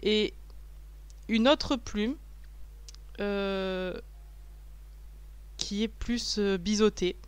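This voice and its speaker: A woman's voice: medium pitch, steady tone, very slow, long pauses, clear, resonant tone, no echo. A woman and mother of a young child, talking over her unboxing video afterwards in a chatty, self-mocking way, with many fillers and restarts. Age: adult, a mother of a young child. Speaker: vlogger reviewing a package. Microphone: voice-over recorded afterwards, not while unboxing.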